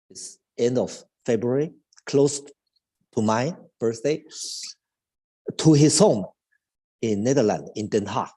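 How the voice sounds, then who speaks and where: Slow, husky Chinese-accented man in his fifties. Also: medium pitch, dark tone, rough recording. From China. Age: 50s. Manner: halting, with many restarts and fillers. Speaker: cello teacher. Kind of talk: live online chat.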